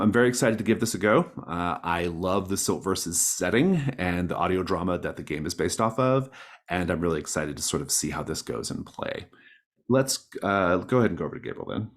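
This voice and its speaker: A man with a low voice acts as game master on an online call. He introduces the session in a casual, conversational way.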